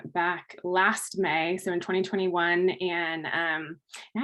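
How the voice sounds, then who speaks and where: A woman's voice: mid-range voice, fairly bright tone. A young woman in her twenties from California, a talent specialist introducing herself casually, trailing off with ums. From California, USA. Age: 20s. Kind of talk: self-introduction.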